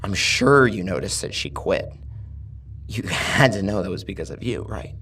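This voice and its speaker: A man voicing a sadistic, grief-stricken masked torturer in a horror audio story, slow and menacing with bursts of rage, husky and gravelly. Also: sits low, lively intonation, fairly bright tone, far from the mic, slight background noise. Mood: bitter.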